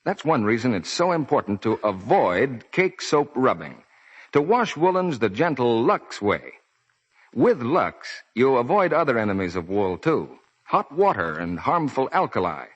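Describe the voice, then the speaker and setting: Old timey american radio announcer guy, lively pitch, polished sales talk, dull broadcast sound. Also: a little slow, breathy edge. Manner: polished, explanatory.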